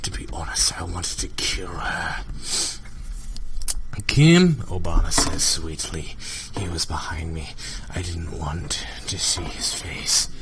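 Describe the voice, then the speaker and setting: A man hosting a comic fanfiction readalong, narrating theatrically and often dropping into breathy whispers. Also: low-pitched, flat delivery, measured pace. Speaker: reader and host. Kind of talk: comic dramatic fanfiction readalong among friends. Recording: noisy home mic with clicks.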